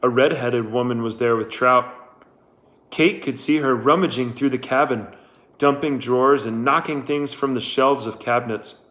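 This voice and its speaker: A man's voice: medium pitch, fairly even intonation, moderate pace, fairly bright tone. A man reading a novel aloud as its narrator. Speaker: audiobook-style narrator.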